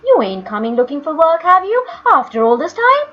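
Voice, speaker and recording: A woman's voice: sits high, very animated, brisk, voice pushed up. A woman voicing a big, bustling working-class kitchen maid in a storybook, exclaiming, chatty and comically complaining. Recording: dull lo-fi audio, music underneath.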